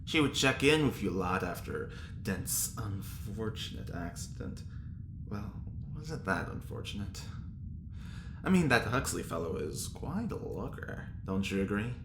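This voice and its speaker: An actor playing a vain, gossipy dandy in a spaceship audio drama, theatrical and catty. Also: male voice, on the low side, very animated, measured pace, roomy sound, background noise. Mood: gossipy, admiring.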